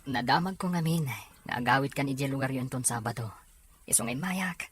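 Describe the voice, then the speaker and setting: A woman acting a frank, protective young male cousin with a husky voice in a drama serial. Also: rumbling low, fairly quick. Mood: sympathetic, frank.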